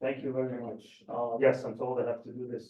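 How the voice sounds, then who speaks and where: A man from the Gaza Strip speaks as a panelist, earnest and measured, pausing often on ums. He sounds set back from the microphone, in a roomy space. The sound is dull and lo-fi. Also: medium pitch, flat delivery, far from the mic. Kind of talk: panel talk.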